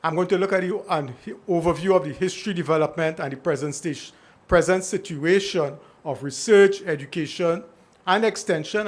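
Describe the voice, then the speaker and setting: A man's voice: fairly high pitch, husky. A middle-aged man from Trinidad and Tobago with a Trinidadian accent, giving a conversational keynote presentation at a conference. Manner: conversational, talking to the audience, asks the room questions. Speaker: invited speaker.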